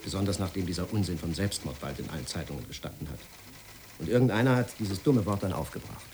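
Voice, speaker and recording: Fast-talking weary newspaperman. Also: sits low, lively intonation, a little mellow, a little hiss or hum. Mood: exasperated, explaining. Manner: brusque, exasperated, dismissive. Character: weary, dismissive male local newspaper journalist. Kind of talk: German radio play acting.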